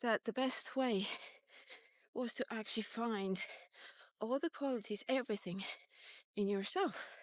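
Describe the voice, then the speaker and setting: A woman in her fifties telling a personal story in a casual, rambling vlog style, halting and informal as she thinks aloud. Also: on the high side, no echo. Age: 50s. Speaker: vlogger. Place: walk-and-talk recording.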